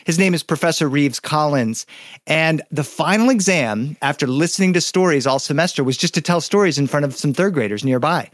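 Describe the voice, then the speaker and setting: A man sharing his background as a guest in a relaxed conversation, with a fairly high voice. He tells his story anecdotally, with fillers and quick restarts, and the recording sounds dry and close. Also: no room sound. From United States.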